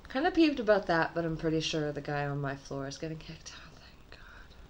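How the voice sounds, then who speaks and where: A woman with a low, slightly husky and nasal voice chats to her camera at home. She rambles from one thought to the next and often leaves sentences unfinished, with a faint hiss in the background. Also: big pitch swings, brisk. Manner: rambling, trailing off mid-thought. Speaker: vlogger. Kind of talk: casual rambling vlog. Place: her own apartment.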